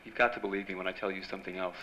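A man's voice: sits low, level tone, racing. A man playing an earnest, romantic young reporter hero in an old-time radio drama, with a theatrical, emphatic delivery. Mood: tender. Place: stage before a live audience. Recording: dull, roomy live stage recording, loud noise.

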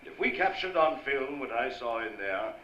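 An actor playing a smooth-talking, encouraging film director in an old-time radio drama. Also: male voice, medium pitch, big pitch swings, normal speed, pushed and bright. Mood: effusive. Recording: dull, echoey live stage recording, faint noise.

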